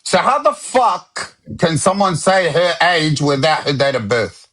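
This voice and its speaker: A man's voice: fairly high pitch, sing-song, measured pace, crowd noise. A man in a heated live debate, insistent and talking over others as he repeatedly demands sources. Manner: talks over others, interrupts.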